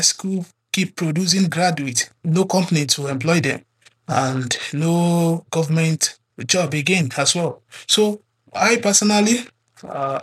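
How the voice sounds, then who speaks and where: A man speaks loosely, with many pauses and fillers. His voice is a little high and husky. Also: fairly bright tone. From Nigeria. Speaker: guest. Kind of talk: conversational testimony.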